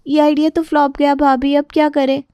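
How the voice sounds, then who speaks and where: Girlish young sister-in-law played by a woman, cartoon tale, high and soft-toned. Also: flat delivery, a little fast, dry recording, close to an everyday voice. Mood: worried. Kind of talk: children's cartoon story dialogue.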